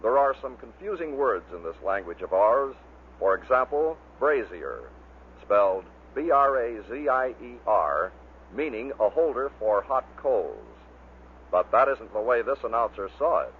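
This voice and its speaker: A man's voice: mid-range voice, lively intonation, a little slow. Man narrating on a tinny vintage recording. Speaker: narrator of a radio bloopers compilation. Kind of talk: scripted narration introducing clips. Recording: muffled vintage narrow-band recording with hiss.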